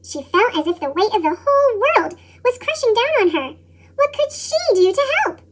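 A woman reading a children's storybook in scripted narration, expressively, through a pitched-up chipmunk voice effect. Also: very animated, moderate pace. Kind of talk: read-aloud scripted narration.

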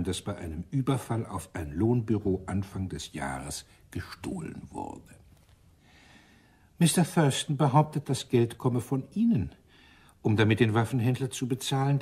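A man's voice: mid-range voice, warm tone, husky, full character voice. A man playing an irritable British police inspector in a German radio crime drama, dry and commanding. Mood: sardonic, commanding.

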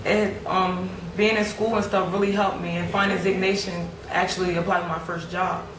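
Woman from wilmington delaware, sincere, low flat voice, echoey, distant mic. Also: normal speed. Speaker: youth program member. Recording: echoey room, distant mic, background noise.

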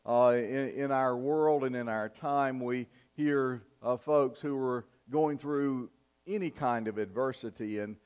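Older man, pastor, church sermon, earnest, measured, emphatic pauses. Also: mid-range voice, a little mellow, clear, resonant tone. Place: church sanctuary, at the pulpit. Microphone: fixed camera from the back of the church.

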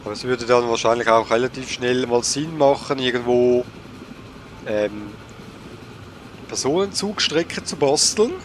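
A man with a cold, thinking aloud with many pauses and fillers while commenting on a game he plays. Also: medium pitch, a little hiss or hum. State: has a cold. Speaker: let's play gamer. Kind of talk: casual gameplay commentary.

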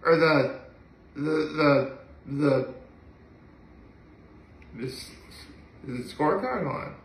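A man's voice: a little high, lively intonation, slow pace, a little hiss or hum. A young man chatting casually to the camera in a rambling, hesitant way that often trails off, recorded at home a few feet from a phone. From upstate New York. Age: young adult. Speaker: daily vlogger. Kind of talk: casual solo chat to camera. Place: indoor living room at home. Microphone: phone camera a few feet away. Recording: roomy, echoey home recording.

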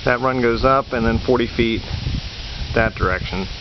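A man's voice: mid-range voice, normal speed. A man talking casually and matter-of-factly through a how-to project, like a hobbyist showing his work. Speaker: amateur radio hobbyist, video maker. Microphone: handheld camera's built-in mic. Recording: dull camera-mic audio with clear hiss and hum.

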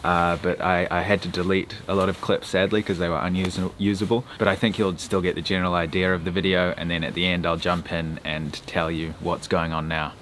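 A man's voice: low-pitched, brisk, hardly any pauses. A young man talking casually to a handheld camera as a travel vlogger, with easy "uh" fillers. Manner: relaxed, chatty, frequent "uh" fillers. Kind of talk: casual travel vlog narration. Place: outdoors, street and countryside. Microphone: handheld camera at arm's length. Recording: loud background noise.

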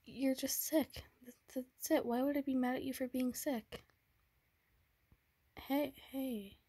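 A woman's voice: high voice, steady tone, slow pace, dry recording, pitched above the performer's own voice, heavily acted. Woman playing a stammering, whispery young boyfriend. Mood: worried pleading. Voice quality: soft, breathy whisper with nervous stammers. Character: anxious, tender young male boyfriend. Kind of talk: whispered romance roleplay.

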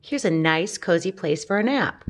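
A woman's voice: on the low side, animated, moderate pace. A woman voicing a playful, carefree young male puppy in a children's storybook, with simple eagerness. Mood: sleepy.

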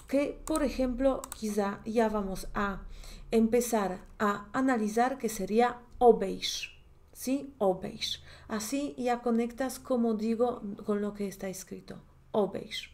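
A woman's voice: a little high, warm tone. A woman in her thirties with a Polish accent, giving a chatty online grammar lesson as a language teacher, checking in with the listener often. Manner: chatty, explanatory, asks "¿sí?" often. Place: indoor room, at a desk. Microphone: webcam in a corner of a screen share.

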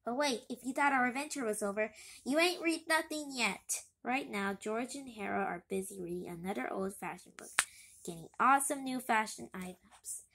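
Bright, high-pitched woman reading aloud, halting. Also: a little hiss or hum. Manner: halting, stumbles over words. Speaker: reader. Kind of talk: amateur read-aloud of a comic book.

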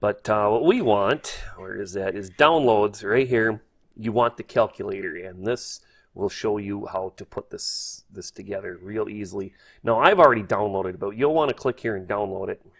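A man's voice: fairly low pitch, moderate pace. A man hosting a casual hobby tutorial, thinking aloud in a hesitant, folksy way. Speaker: host, hobbyist instructor. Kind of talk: casual spoken tutorial over screen.

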